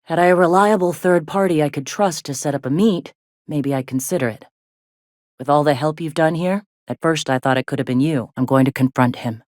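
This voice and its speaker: A woman voicing a gruff, plainspoken middle-aged frontier medic in a video game, with a blunt, folksy way of talking. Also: sits low, fairly quick, darkish tone, no room sound, studio quality, natural voice. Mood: firm. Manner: folksy, blunt, drawling slang with swearing. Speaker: video game character, medic and deputy leader.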